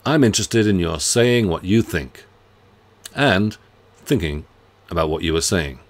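Deep-voiced professor introducing himself. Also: male voice, expressive, unhurried. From United Kingdom. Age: middle-aged. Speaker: university professor. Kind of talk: scripted self-introduction to students. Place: home study lined with bookshelves.

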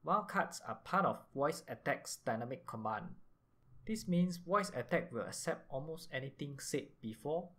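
A man hosting a software tutorial, speaking in an instructional way and signposting each step as he narrates. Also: fairly high pitch, lively intonation, moderate pace. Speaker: tutorial host.

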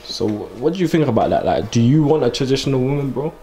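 A man's voice: mid-range voice, lively intonation, quick pace, crowd noise. A young man with a British accent, hosting in casual, slangy chat. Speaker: host. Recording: music playing behind the voice.

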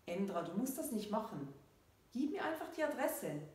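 A woman's voice: mid-range voice, expressive, moderate pace, natural voice. A woman voicing an emphatic, urgent schoolgirl heroine in a story reading. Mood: insistent. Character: Leila, exasperated, stunned schoolgirl narrating heroine. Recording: very echoey room, distant microphone.

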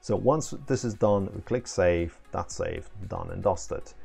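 Man, 30s, creaky low voice, casual hands-on demonstrator talking through steps, faint music behind. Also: unhurried, warm tone. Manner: informal, hands-on, step-by-step explaining. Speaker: product demonstrator. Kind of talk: casual tutorial, explaining setup steps.

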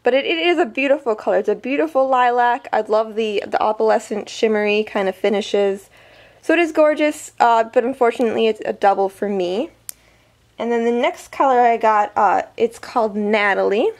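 A woman's voice: on the high side, moderate pace. A woman vlogger chatting casually through an unboxing review, her voice a little weak from a summer cold, full of ums and likes. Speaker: beauty vlogger.

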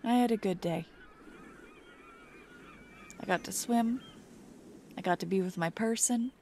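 A young woman doing a soft, playful, teasing ASMR girlfriend roleplay. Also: mid-range voice, measured pace, a little hiss or hum.

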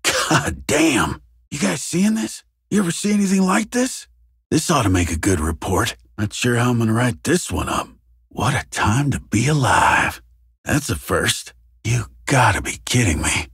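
A jaded, foul-mouthed SWAT squad member from a video game. His voice is very raspy and husky at a middling pitch, with animated swings in intonation. He talks in casual, wry asides, recorded close and dry. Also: a little slow, dry recording, exaggerated voice. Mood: wry, incredulous. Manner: casual, muttered wisecracks with swearing. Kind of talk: video game character barks.

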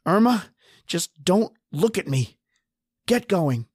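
A man's voice: sits high, big pitch swings, slow pace, breathy edge, no echo, clean studio sound, exaggerated voice. A man playing a desperate, injured husband trapped underground in a horror radio drama. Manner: pleading, ragged, then defiant.

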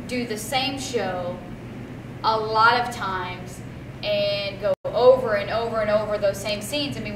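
A woman in her thirties, a high school theatre director, speaking earnestly as she coaches and explains. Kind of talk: earnest coaching talk and interview. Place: empty school theatre stage and auditorium. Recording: echoey auditorium, background noise.

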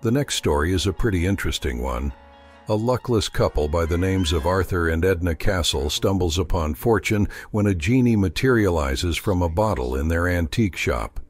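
A male host delivers scripted introductions in a deep, husky voice. His pitch varies widely over faint music. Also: animated, moderate pace. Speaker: channel host introducing stories. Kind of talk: scripted narration.

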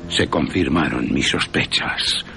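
Man acting out a slow, grave vampire-hunting professor. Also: rumbling low, gravelly, bright, forceful tone, soft background music, full character voice. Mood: assured. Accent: Castilian Spanish. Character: learned, patient, resolute old vampire-hunting professor.

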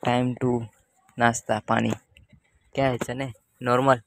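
A man's voice: mid-range voice, flat delivery, slow pace, dry recording. A young man, chatting casually to viewers in a vlog. He records himself outdoors at a cricket ground, holding the camera at arm's length. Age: young adult. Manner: chatty, addresses viewers directly. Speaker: vlogger. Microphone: handheld camera at arm's length.

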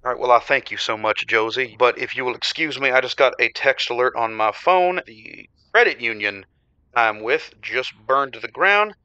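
A man's voice: medium pitch, moderate pace, pushed and bright, clean tone, no echo, lightly acted. A man playing a hapless, lovestruck radio caller who talks earnestly about his troubles, on a slightly dull line. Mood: earnest, resolute.

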